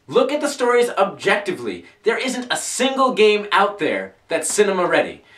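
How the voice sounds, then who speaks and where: A man's voice: sits high, huge pitch swings, normal speed, fairly bright tone, heavily acted. A young man acting an opinionated, skeptical geek in a scripted comic debate, emphatic and dismissive. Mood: argumentative, dismissive. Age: young adult. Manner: emphatic, argumentative. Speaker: actor in a web comedy sketch. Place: small furnished room indoors. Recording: roomy, mic some distance away.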